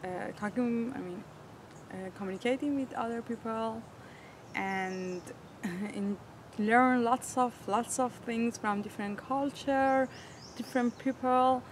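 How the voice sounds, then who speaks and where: A woman's voice: on the high side, unhurried. Earnest young woman, traffic behind. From Iran. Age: 20s. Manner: earnest, searching for words in a second language. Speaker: interviewee. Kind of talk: personal storytelling.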